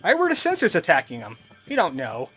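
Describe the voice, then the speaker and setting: A man's voice: on the high side, huge pitch swings, fairly quick, fairly bright tone, breathy edge. A man giving casual, snarky let's play commentary as he plays a video game. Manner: snarky, wry. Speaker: gamer, let's play host. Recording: commentary over game music.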